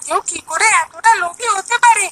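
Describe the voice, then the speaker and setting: A woman voices an earnest, aggrieved village boy in a cartoon tale. The voice is extremely high and bright, full of plaintive complaint, over faint noise. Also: normal speed. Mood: indignant. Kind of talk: Bengali cartoon story dialogue.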